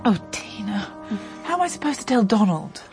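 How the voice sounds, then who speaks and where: An actress in a radio drama playing a fretful, emotional young woman with a breathy, plaintive voice. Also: mid-range voice, sing-song, normal speed, a little mellow, soft background music. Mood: anxious, distressed. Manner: worried, plaintive.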